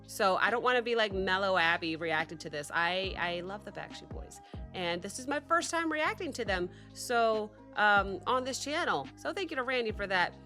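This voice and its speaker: Bright chatty woman with a sickly cough. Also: medium pitch, normal speed, faint music behind. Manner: chatty and excitable, rambling with fillers and restarts. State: sick with a cough, recovering voice. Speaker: music reaction host. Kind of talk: casual chat to camera.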